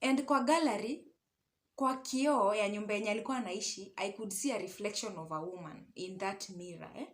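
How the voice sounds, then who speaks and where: A woman's voice: mid-range voice, a little fast. A young woman with a Kenyan accent telling a personal story casually and conversationally, mixing English and Swahili and often checking in with little tag questions. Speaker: online storyteller and love coach.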